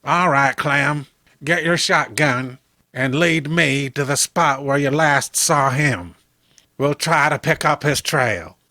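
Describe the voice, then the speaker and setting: Man acting a forceful, skeptical small-town sheriff. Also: on the high side, takes it slowly, no room sound, studio quality, full character voice. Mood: commanding. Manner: dismissive, authoritative. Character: gruff, skeptical small-town male sheriff, brisk and commanding.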